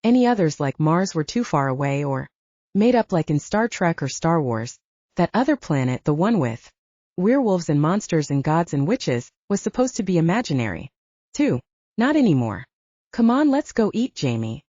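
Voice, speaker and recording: A woman's voice: low-pitched, big pitch swings, normal speed, a little mellow, dry recording. A woman narrating an audiobook, reading scripted fiction. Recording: dull, lo-fi, narrow sound.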